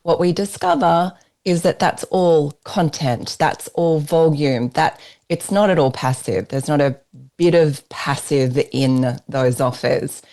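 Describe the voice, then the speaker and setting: An Australian woman leading a business workshop, giving an emphatic, persuasive pitch while presenting slides. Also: slow pace, mellow.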